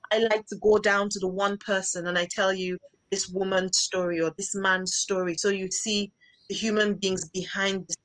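A woman's voice: medium pitch, a bit bright, poor audio. Earnest Nigerian woman on a video call. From northeastern Nigeria. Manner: earnest, grateful, repeats herself. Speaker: charity founder guest. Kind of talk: casual video chat interview.

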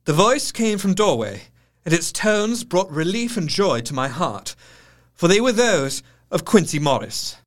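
An actor playing a grave, shaken Victorian doctor, narrating a diary in measured, literary style for an audio drama. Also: male voice, sits high, big pitch swings. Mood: earnest. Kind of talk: dramatic scripted first-person narration.

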